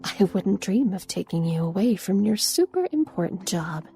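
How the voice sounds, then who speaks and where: An actress playing a tender, reassuring young woman in love in an intimate audio drama, with soft, breathy murmurs. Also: medium pitch, big pitch swings, moderate pace, faint music behind. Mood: teasing, affectionate.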